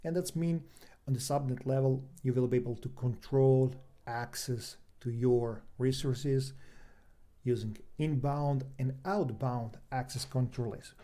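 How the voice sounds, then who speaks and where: A man from Serbia with a Serbian accent, a native Serbian speaker, giving an explanatory technical presentation as a trainer, in halting non-native English with questions he poses to himself. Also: medium pitch, dark tone. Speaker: cloud engineer and trainer presenting an online session.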